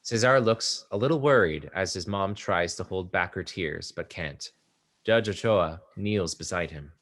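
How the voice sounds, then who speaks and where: A man calmly reading stage directions aloud at a play table read. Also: low-pitched, measured pace. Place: art exhibition theater area.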